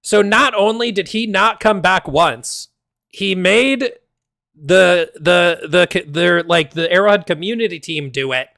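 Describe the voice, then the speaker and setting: A man streaming and ranting casually, heated and exasperated, swearing often, his voice going hoarse. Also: high voice, a little slow, bright, forceful tone, no echo, studio quality. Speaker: game streamer. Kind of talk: casual livestream rant.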